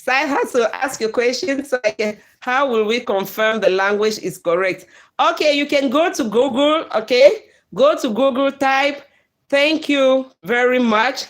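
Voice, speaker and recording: Cameroonian woman, livestream host, bright higher voice, chatty and laughing, pidgin phrases. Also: measured pace. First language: Bakweri. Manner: lively, laughs often, mixes in pidgin phrases. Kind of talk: live stream chat, reading viewer comments.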